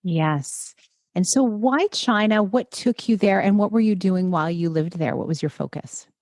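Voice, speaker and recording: A woman's voice: medium pitch, normal speed, darkish tone, no echo, studio quality. A woman hosting a wellness podcast, reading her intro and asking interview questions in an upbeat, encouraging way. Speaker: podcast host and health coach.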